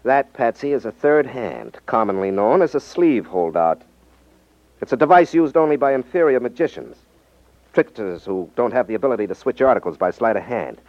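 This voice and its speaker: A man acts out a confident detective from a 1950s radio mystery. He is firm, wry and very much in charge, with animated inflection. The sound is close and dry, with the dullness of an old broadcast. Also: medium pitch, normal speed, no room sound, lightly acted. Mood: explaining. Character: confident, cool-headed male detective-magician. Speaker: radio drama actor, lead. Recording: muffled 1950s radio recording.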